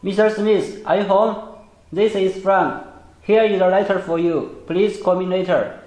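A man's voice: high-pitched, steady tone, a little slow, darkish tone. A young adult man leaving a staged visitor message indoors. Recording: echoey, noisy room.

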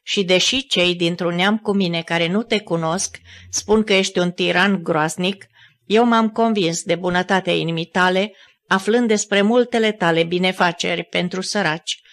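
A reader voicing Joseph of Arimathea as a calm, respectful councillor speaking softly in an audiobook. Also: medium pitch, natural voice.

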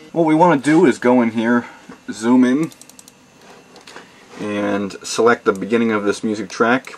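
A man's voice: lowish voice, a little hiss or hum. A man giving a methodical step-by-step tutorial at his home desk, talking plainly as he works through the steps. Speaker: tutorial host. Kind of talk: step-by-step how-to demonstration. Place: home desk with computer and mixer.

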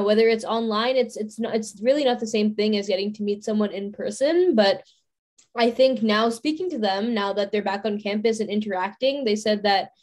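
A woman's voice: on the high side, a little mellow. A university student in her twenties speaking on a video call panel, thoughtful and circling back with frequent "you know" and restarts. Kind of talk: casual panel discussion.

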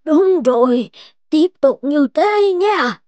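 A woman voicing a kindly, anxious old village midwife in an animated fairy tale, with an earnest, drawn-out delivery. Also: high-pitched, dry recording. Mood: urging. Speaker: voice actor.

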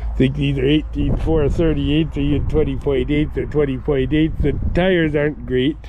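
A man's voice: fairly high pitch, fairly even intonation, normal speed, highs cut off, noisy background. A man giving plainspoken, folksy walkaround commentary on farm equipment, recorded outdoors on a handheld camera. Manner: folksy, plainspoken, fond of old machinery. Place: outdoors on an auction yard.

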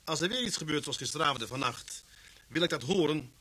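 An actor in a Dutch radio drama playing a sober, sceptical chief inspector. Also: male voice, fairly high pitch, animated, fast, a bit bright, slightly rough, rough recording. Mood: sceptical, firm. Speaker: radio play actor and narrator.

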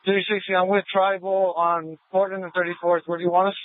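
A narrow, phone-like police radio carries a male officer reporting in. He speaks quickly, in a fairly high voice. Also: flat delivery, no room sound.

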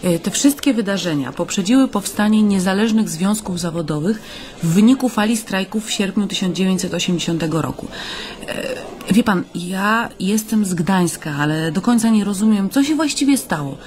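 A woman's voice: medium pitch, animated, moderate pace, a little mellow, lightly acted. An actress playing a polite, attentive Polish woman who conducts a thoughtful interview in a radio play. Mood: curious, polite.

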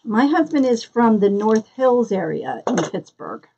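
Slow, husky-voiced woman with a Philly accent. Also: on the high side, big pitch swings, warm tone, dull audio. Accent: Philadelphia. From Philadelphia area, US. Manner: chatty, greets viewers by name while working. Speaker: craft tutorial host. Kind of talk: live-stream craft demonstration.

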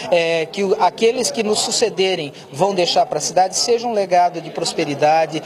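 Brief: Brazilian man, 30s, official in a TV interview, earnest, stumbles and repeats words, crowd murmur behind. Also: high-pitched. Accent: Brazilian Portuguese. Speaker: environment secretary. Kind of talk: interview answer. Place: auditorium. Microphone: handheld reporter mic.

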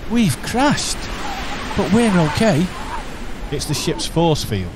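A calm, eccentric time-traveling alien, played by a man muses in an unhurried, whimsical way. His pitch rises and falls a lot. A clear hiss of noise sits under the radio play. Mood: musing.

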